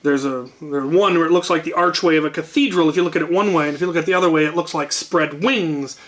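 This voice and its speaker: A man's voice: fairly high pitch, fast, fairly bright tone. A man telling an anecdote casually as a channel host, wryly, often repeating words as he gathers his thoughts.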